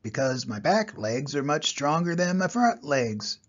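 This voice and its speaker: An older man voicing a cheerful, hardworking male dung beetle in a storybook, explaining his job in a husky, gravelly voice. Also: a little high, sing-song, unhurried, dull audio. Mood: earnest.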